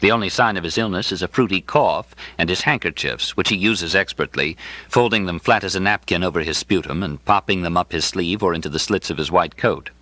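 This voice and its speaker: A man reading a literary novel in the first person as an audiobook narrator, with a dry, precise and expressive delivery. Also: deep voice, fairly quick, dry recording.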